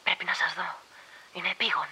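An actor playing an anxious, insistent visitor with urgent news in a radio drama. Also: male voice, high voice, steady tone, pushed and bright, husky, heavily acted, poor audio. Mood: urgent, hushed.

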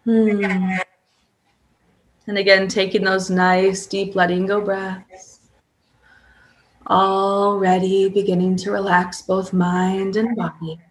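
A woman guiding a sleep hypnosis session as a hypnotherapist, calm, with drawn-out pauses and audible breaths. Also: mid-range voice, a little hiss or hum, rough recording. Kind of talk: guided sleep hypnosis, soothing relaxation script.

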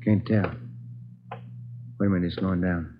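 An actor playing a detective sergeant and partner in a 1940s radio drama, making plain, observant remarks. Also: male voice, sits low, steady tone, moderate pace, natural voice. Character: steady police partner on a case. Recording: dull, noisy 1940s radio recording.

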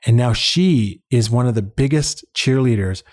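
A man's voice: medium pitch, moderate pace, darkish tone. A man, a guest in an interview, telling personal stories in a conversational, earnest and reflective way, repeating words for emphasis. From born in Uganda, later lived in Canada. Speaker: interview guest, head of an international eye-care charity.

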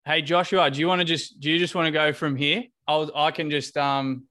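A man with a slightly high voice leads an online group session over a video call. He keeps things casual and easygoing, often restarting his sentences as he coordinates. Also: fairly even intonation, brisk, sharp tone. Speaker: workshop facilitator.

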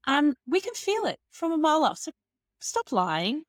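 A woman's voice: high voice, very animated, normal speed, dry recording, clean studio sound. A woman guest on a podcast who talks about business bluntly and cheekily, with strong emphasis. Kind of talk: casual interview chat.

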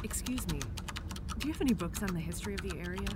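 A woman's voice: medium pitch, expressive, fast, background noise, natural voice. A woman acting a polite, slightly formal newcomer from New York City in an audio drama. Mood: polite, tentative.

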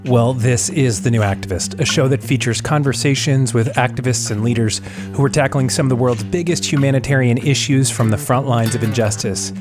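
A man's voice: medium pitch, a little fast, over music. A man hosting an interview podcast, reading an earnest introduction and then chatting with his guest. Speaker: podcast host.

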